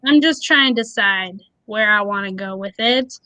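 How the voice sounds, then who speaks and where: A woman's voice: medium pitch, normal speed, pushed and bright, clean tone, dry recording, poor audio. A woman in her thirties, a guest on an interview, speaking openly and reflectively with no accent. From born in a Russian-speaking country, adopted to the US at six. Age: 30s. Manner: open, reflective, light nervous laughs. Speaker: guest, preschool educator and aspiring life coach. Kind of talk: candid personal interview.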